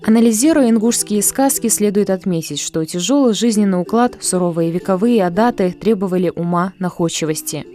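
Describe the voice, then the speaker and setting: A woman reading formal scripted documentary narration in a measured way. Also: medium pitch, mellow. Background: faint background music.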